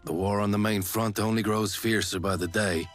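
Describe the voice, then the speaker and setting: Man acting deep, stern fantasy captain. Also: steady tone, moderate pace, close to an everyday voice. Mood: grave, commanding. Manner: formal, authoritative. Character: stern, measured male military captain. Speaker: video game character. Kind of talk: English game cutscene voice acting. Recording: music behind the voice.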